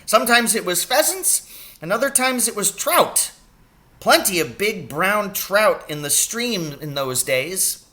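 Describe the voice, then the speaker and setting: An elderly country doctor, played by a man reading a storybook, pitched very high and swooping with feeling. He is small, kindly and excitable, relishing his words and prone to exclaiming. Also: unhurried, heavily acted. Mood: gleeful, nostalgic.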